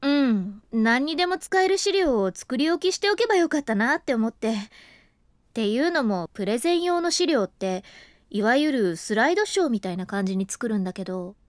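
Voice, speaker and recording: A woman's voice: on the high side, animated, normal speed, no echo, studio quality, close to an everyday voice. An actress playing a tired young office worker who keeps apologizing and narrates her own thoughts. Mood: apologetic. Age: young adult. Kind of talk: studio audio drama. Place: dry studio.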